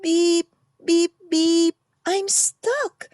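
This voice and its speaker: A woman's voice: very high voice, very slow, darkish tone, no room sound, studio quality, voice pushed up, full character voice. A woman voicing a friendly, helpful little blue truck from a children's storybook, in short beeping exclamations. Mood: pleading.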